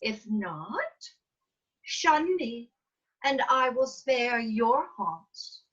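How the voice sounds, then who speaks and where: A woman playing a proud, defiant fairy queen in a measured, theatrical reading of Shakespearean verse over a video call. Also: a little high, very animated, a bit bright, exaggerated voice. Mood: haughty. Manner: theatrical, measured, emphatic delivery. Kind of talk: Shakespearean verse table read. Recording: dull, lo-fi video call audio.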